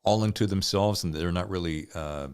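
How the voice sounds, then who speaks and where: A man's voice: low-pitched, moderate pace, darkish tone, clean tone. A man hosting a podcast in casual, enthusiastic conversation, often speaking straight to listeners. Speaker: podcast host. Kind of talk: casual interview chat.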